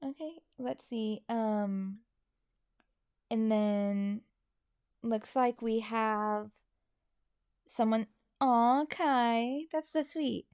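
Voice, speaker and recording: A woman's voice: on the high side, drawn-out words, long pauses, darkish tone, smooth. A woman co-hosting a casual livestream and reading viewer messages in a self-deprecating, hesitant way. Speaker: livestream co-host and hobby voice actor.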